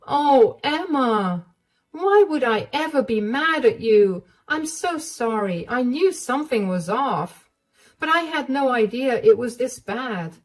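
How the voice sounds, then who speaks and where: A woman voicing a caring, protective middle-aged mother-in-law, warm, indignant and reassuring in a storybook tale. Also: a little high, lively intonation, slow, pitched above the performer's own voice, rough recording. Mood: sympathetic.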